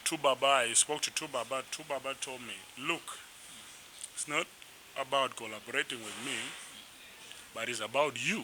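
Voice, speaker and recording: A young man from South Sudan, a musician being interviewed, telling his story in a rambling, animated way with restarts. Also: mid-range voice, normal speed. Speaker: musician guest. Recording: noisy background.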